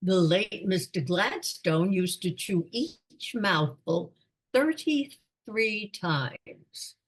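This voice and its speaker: A woman's voice: medium pitch, expressive, extremely slow, slightly rough, full character voice. A woman playing a doddering, absent-minded elderly lord in a radio comedy, with a pompous, rambling manner. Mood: musing, genial. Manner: pompous, rambling aristocratic musing. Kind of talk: radio play comedy acting.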